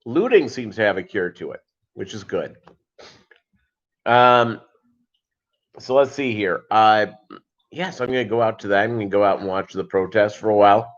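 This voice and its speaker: A man's voice: fairly low pitch, moderate pace, pauses often. Rambling man on a dull lo-fi livestream. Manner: rambling, joking, deadpan asides. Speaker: host of a live fishkeeping chat show. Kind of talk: casual livestream chat.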